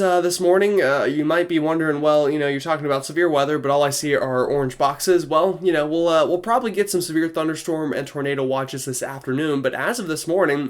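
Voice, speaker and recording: A young man in casual forecast mode, stuffed up from a cold. He rambles through the weather with plenty of fillers and restarts. His voice sits at an ordinary middle pitch. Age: young adult. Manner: chatty, many fillers. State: congested from a cold.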